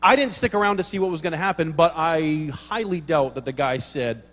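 Animated pastor preaching in a reverberant church. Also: male voice, a little high, brisk. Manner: animated storyteller, acts out dialogue, laughs at own jokes. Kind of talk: sermon with humorous stories.

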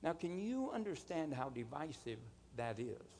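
A man preaching a sermon in an emphatic, deliberate manner, with rhetorical questions and calls for response. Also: a little high, big pitch swings, a little mellow, a little hiss or hum. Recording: long hall reverb.

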